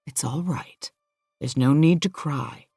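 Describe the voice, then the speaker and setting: A woman voicing a kind, firm middle-aged male boss who speaks in a gentle, reassuring, fatherly way. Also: very deep voice, slow pace, creaky, breathy edge, no echo, clean studio sound, faint music behind, full character voice. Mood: soothing.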